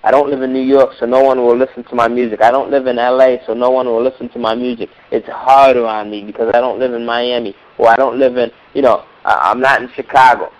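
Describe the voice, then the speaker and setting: A man's voice: mid-range voice, fairly even intonation, fast talker, hardly any pauses. A man with a cold, coaching in a teleseminar, emphatic and repeating words for stress. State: hoarse from a cold. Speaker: music business coach. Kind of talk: motivational teleseminar talk. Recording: dull lo-fi teleseminar call.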